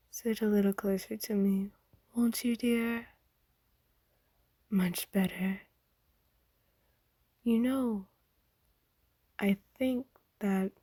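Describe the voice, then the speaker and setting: Woman voicing a slow, hushed, doting vampire noblewoman. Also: medium pitch, slightly rough, dry recording. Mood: tender, intimate. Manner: soft-spoken, half-whispered, gently formal, coaxing. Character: kind, doting aristocratic female vampire owner. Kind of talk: ASMR roleplay monologue.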